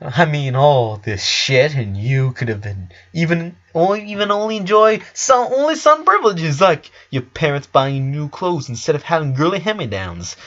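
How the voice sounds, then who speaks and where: Guy doing a glib blunt doctor for a story, rambly and sarcastic, highish swoopy voice, bit muffled recording. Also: expressive, normal speed, hardly any pauses, a bit bright. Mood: sardonic, rambling. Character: glib, blunt, morbidly curious male doctor.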